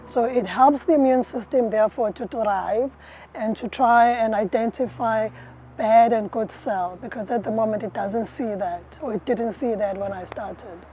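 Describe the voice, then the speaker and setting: A woman's voice: on the high side, normal speed, mellow, soft background music. A middle-aged woman beekeeper, interviewed outdoors in an orchard, explaining with frequent fillers and restarts. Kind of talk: interview answers.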